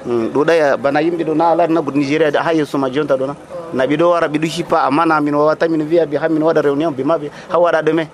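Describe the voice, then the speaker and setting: A man with a notably high voice speaks haltingly, with frequent pauses, as a field reporter interviews him outdoors at a market. The sound is an outdoor reporter's recording. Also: steady tone. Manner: hesitant, halting talk. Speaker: market trader.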